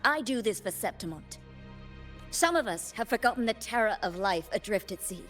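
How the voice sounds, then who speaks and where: A haughty noblewoman from a video game voiced by a woman, cold and contemptuous. Her formal, imperious speech swings widely in pitch over background music. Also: lively intonation, moderate pace, fairly bright tone, lightly acted. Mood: cold, guarded. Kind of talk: scripted video game acting. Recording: music playing behind the dialogue.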